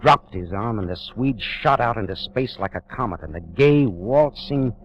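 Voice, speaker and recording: An actor playing a hard-boiled private detective who narrates in terse, dry first-person lines in a 1940s radio drama. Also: male voice, fairly low pitch, animated, normal speed, a little hiss or hum, natural voice. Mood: tense. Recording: dull vintage radio recording with music.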